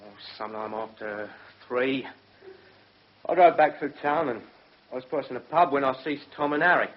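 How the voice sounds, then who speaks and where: An actor playing a surly, defiant career criminal on trial in a radio drama, speaking bluntly with a husky voice and a working-class English accent. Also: male voice, medium pitch, normal speed, natural voice. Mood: guarded, insistent. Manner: blunt, colloquial. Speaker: defendant in a courtroom drama. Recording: muffled, dull radio drama recording.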